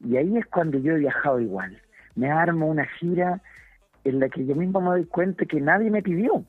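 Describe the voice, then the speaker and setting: A Chilean man in his fifties, a comedian and guest, chatting in a relaxed, halting way and often repeating words while he searches for them. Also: a little high, husky, dry recording. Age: 50s. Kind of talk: relaxed interview chat. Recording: dry tinny phone line.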